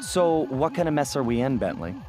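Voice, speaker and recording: A man plays a cocky young cartoon raccoon thief with a mid-pitched, very animated voice. He is easygoing and wry, like a hero narrating his own caper, with music playing behind him. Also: fairly quick, close to an everyday voice. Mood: teasing. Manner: wry, smooth storytelling. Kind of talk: animated video game narration and dialogue. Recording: clear music bed behind.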